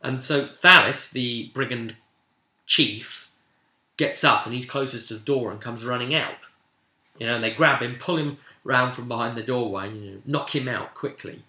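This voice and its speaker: A man's voice: medium pitch, normal speed, sharp tone. A man casually recapping a tabletop role-play session as game master, telling it like a story and breaking off into asides. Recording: roomy, echoey room sound.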